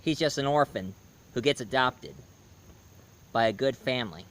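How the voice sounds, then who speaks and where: A man giving a casual spoken review, hoarse, rambling and correcting himself mid-sentence. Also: mid-range voice, expressive, moderate pace, fairly bright tone. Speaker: movie and comics reviewer.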